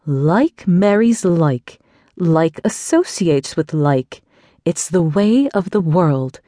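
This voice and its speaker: A woman's voice: on the low side, animated, warm tone, dry recording, studio quality. Woman doing a scornful haughty aunt. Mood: lecturing. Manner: slow, disdainful, seething.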